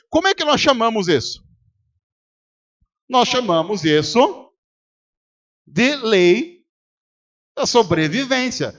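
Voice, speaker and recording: A Brazilian pastor delivers a sermon in a noticeably high voice. He argues rhetorically, keeps quizzing the congregation and acts out both sides of a debate. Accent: Brazilian Portuguese. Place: church service.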